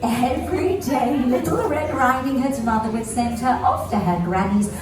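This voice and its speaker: A woman's voice: fairly high pitch, normal speed, distant mic, very noisy, natural voice. A woman playing a playful, bumbling storyteller in a children's puppet show, exaggerated and addressing a young audience in an echoey theatre. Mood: cheerful. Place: indoor theatre, recorded from the audience area. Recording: echoey theatre from afar, music behind.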